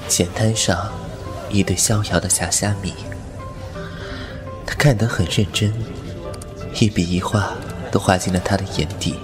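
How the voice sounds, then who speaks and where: A man playing a fox spirit painter who narrates his story in a languid, teasing manner, with music behind him. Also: deep voice. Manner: languid, wry and teasing, laughs softly. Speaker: narrator and lead. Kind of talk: Chinese audio drama acting. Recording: over background music.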